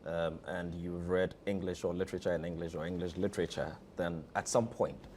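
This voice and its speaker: A man's voice: sits low, flat delivery, fairly quick, a little mellow, slight background noise. A Ghanaian-accented man being interviewed as a theatre director, talking conversationally and leaning on repeated phrases for emphasis.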